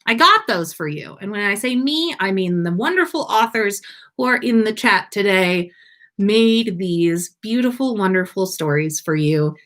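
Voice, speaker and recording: A woman with an unhurried pace and a middle-range voice. She sounds like a podcast host at story time, playful and informal, moving between storytelling and casual chat. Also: mid-range voice, lively intonation, a bit bright. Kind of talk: storytelling read-aloud and casual livestream chat.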